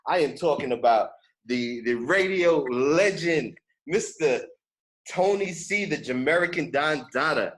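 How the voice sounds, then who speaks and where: A man's voice: fairly high pitch, animated, a little slow, a bit bright, poor audio. A man hosting a casual podcast interview, effusive and slangy in the way he talks. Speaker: podcast host.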